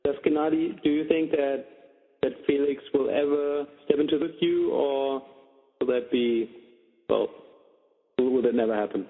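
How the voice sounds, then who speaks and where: A man putting questions as a reporter on a conference call, carefully, pausing between phrases. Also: medium pitch. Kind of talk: phone interview questioning. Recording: tinny phone-line conference call with reverb.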